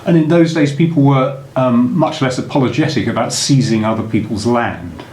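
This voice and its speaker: A man's voice: mid-range voice, normal speed, a little mellow. A man interviewing a historian, asking formal, articulate questions. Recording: echoey room, faint noise.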